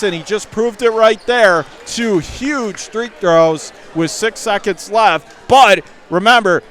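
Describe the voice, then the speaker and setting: A man doing live radio play-by-play of a high school basketball game from the gym, emphatically restating the score and clock. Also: a bit bright, slight background noise. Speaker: play-by-play sports announcer.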